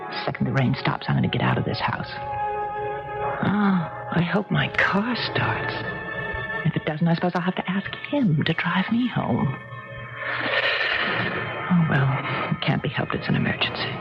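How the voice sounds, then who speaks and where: A woman's voice: deep voice, sing-song, a little slow, creaky, long pauses, loud music behind the voice, exaggerated voice. Insistent woman, swooping 1970s radio drama. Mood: scornful muttering. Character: cat-crazy, insistent woman sheltering in a strange house. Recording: muffled, noisy 1970s radio broadcast.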